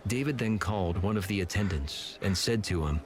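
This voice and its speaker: Deep voiced guy narrating bible story, measured and solemn, noisy ambience behind him. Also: lively intonation. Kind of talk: scripted scripture narration. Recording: clearly audible background noise and ambience.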